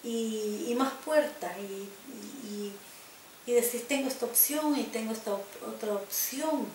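An older woman from El Salvador speaks with a Salvadoran Spanish accent and a fairly high voice. She tells her story slowly and reflectively, stumbling over repeated starts. The room sounds roomy, with a faint hiss from a home video camera. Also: a little mellow. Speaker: interviewee. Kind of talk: personal life-story interview. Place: living room, indoors.

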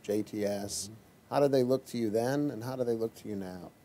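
Male interviewer, lowish voice, calm and measured questions. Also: a little hiss or hum. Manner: measured, clearly phrased questions. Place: small studio office with bookshelves.

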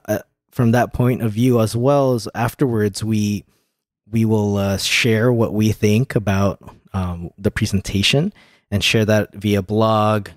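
Low-pitched man hosting a podcast. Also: no room sound, clean studio sound. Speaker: podcast host. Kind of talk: casual tech podcast talk.

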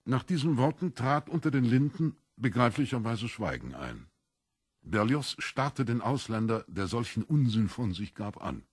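A man narrating a literary audiobook in an expressive, scripted reading style. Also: mid-range voice, normal speed, husky, dry recording, clean studio sound.

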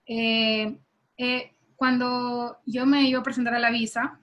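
A young woman from Ecuador, a softball player, speaking softly and hesitantly over a video call. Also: on the high side, little pitch movement, measured pace, lo-fi sound. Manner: soft-spoken and hesitant, with many fillers.

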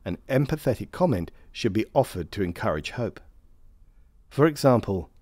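A man reading scripted instructional narration for a training film in a measured, formal, carefully enunciated way. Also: fairly low pitch, sing-song, a little mellow, dry recording.

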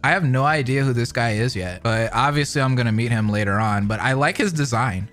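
A man's voice: mid-range voice, fairly quick, a bit bright. A young man doing hyped, slangy live reaction commentary as a streamer, full of exclamations. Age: young adult. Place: indoor room, streaming setup. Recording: game trailer music faintly behind.

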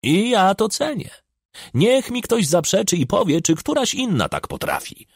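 A man's voice: high-pitched, huge pitch swings, normal speed, slightly rough, no room sound, studio quality, pitched above the performer's own voice, exaggerated voice. A man voicing a shrewd, self-important, argumentative village party secretary in an audiobook. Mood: proud, bragging. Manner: argumentative, pompous, bragging.

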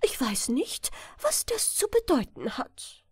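Woman voicing a timid, high-pitched lady's maid. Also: huge pitch swings, slow pace, darkish tone, no echo, clean studio sound, heavily acted. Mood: uneasy. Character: timid lady's maid to a fairy-tale princess. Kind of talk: fairy-tale storybook reading.

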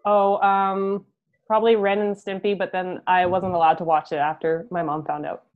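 A woman's voice: on the low side, little pitch movement, moderate pace. Young woman on a dull video call. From Canada. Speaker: band musician, interview guest. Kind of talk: casual chat. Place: home room, video call.

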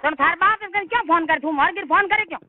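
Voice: very high voice, fast. A person with a Marwari accent from western Rajasthan, arguing heatedly on the phone, scolding and cursing. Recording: tinny, noisy recorded phone call.

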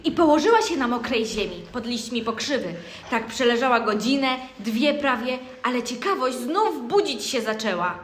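Echoey, high-voiced girl narrator. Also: lively intonation, normal speed. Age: child, around twelve. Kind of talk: scripted storybook narration. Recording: echoey room with faint noise.

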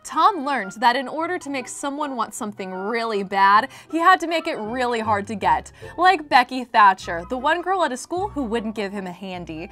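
High-voiced, irreverent American woman telling stories. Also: big pitch swings, moderate pace, few pauses, fairly bright tone, faint music behind. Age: 20s. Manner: irreverent, sardonic, sweary. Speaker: host reading stories. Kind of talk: comedic storybook narration.

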